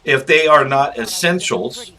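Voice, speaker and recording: A man's voice: medium pitch, unhurried, bright, forceful tone. A man doing casual, chatty game commentary as a channel host, rambling informally through asides.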